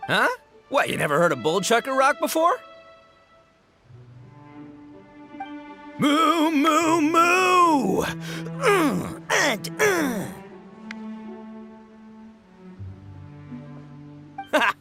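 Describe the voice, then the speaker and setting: Casting: brash young oni gang boss, goofy rock fanatic, forceful bright voice, wildly animated, game dialogue. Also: male voice, very high voice, slow, faint music behind, exaggerated voice. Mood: boastful, goofy. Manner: bombastic, slangy, swaggering. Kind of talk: animated video game voice acting.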